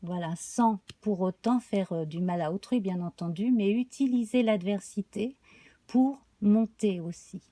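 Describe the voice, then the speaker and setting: Slow, slightly hoarse woman. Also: medium pitch, dark tone, no room sound. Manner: slow, halting, many fillers. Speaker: tarot reader. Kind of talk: live tarot card reading.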